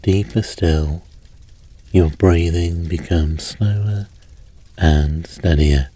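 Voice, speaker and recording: Male sleep hypnosis guide, unhurried and gentle, soft breathy husk, measured pauses. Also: low voice, steady tone, dry recording. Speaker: hypnosis and meditation host. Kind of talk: guided sleep hypnosis, slow soothing narration.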